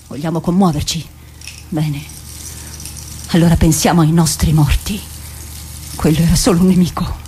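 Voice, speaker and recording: A woman's voice: sits low, steady tone, normal speed, long pauses, mellow, a little hiss or hum, close to an everyday voice. A woman playing a hard, pragmatic, sarcastic comrade-in-arms in a radio drama, challenging and impatient with mocking questions. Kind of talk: theatrical radio drama acting.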